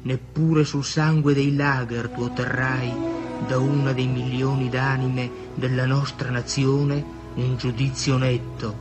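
A man reciting poetry in a solemn, grave and measured manner. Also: medium pitch, level tone, music in the background.

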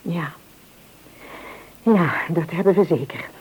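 An actress playing a warm, devout middle-aged wife and mother in a radio play, speaking in a homely, heartfelt way. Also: on the low side, slow, husky, full character voice. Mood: tender. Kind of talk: mid-century radio play acting. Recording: dull, noisy old radio recording.

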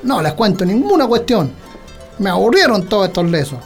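Stubborn countryman, rural Chilean accent, creaky, animated, colloquial, radio drama, faint music behind. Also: high-pitched, a little fast. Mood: irritated. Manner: colloquial, dismissive, rustic. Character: stubborn, boastful countryman, a carousing husband and father.

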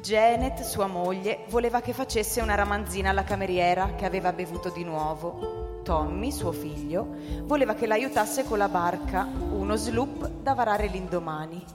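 A woman tells a story as a radio drama narrator. Her mid-pitched voice is measured and literary, carried in a long hall reverb with clear music underneath.

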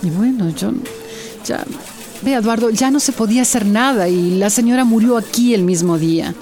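Radio drama doctor, played by a woman: troubled, defensive, halting delivery, warm relaxed timbre, medium pitch. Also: faint music behind, lightly acted. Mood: troubled, hesitant. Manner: halting, hesitant, repeats words.

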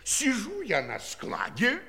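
An actor playing a flustered, indignant, stammering minor official in a children's audio play. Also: male voice, very high-pitched, wildly swooping pitch, slow pace, vocal fry, pushed and bright, exaggerated voice. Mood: indignant, suspenseful. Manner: stammering, breathless complaining. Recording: reverberant hall, faint crowd behind.

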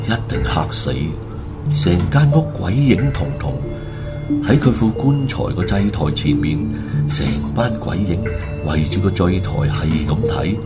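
A man tells a horror radio drama as narrator. His low voice moves with lively swings, colloquial and dramatic, while loud music plays underneath. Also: unhurried. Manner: animated, colloquial.

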